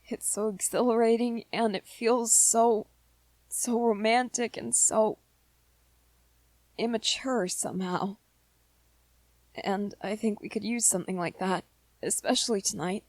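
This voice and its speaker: A woman plays a gentle young male vampire in a romantic ASMR roleplay, pitched on the high side for the performer. He speaks slowly and hesitantly, tripping shyly over his words. The sound is dry and close, as if meant for one adored listener. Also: steady tone, dry recording. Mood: shyly hopeful. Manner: hesitant, soft-hearted, stammering with repeated words.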